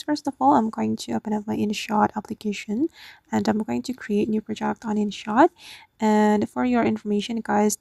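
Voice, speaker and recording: Creaky-voiced woman giving a tutorial. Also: mid-range voice, warm tone, no room sound. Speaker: tutorial host. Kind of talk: casual step-by-step app tutorial narration.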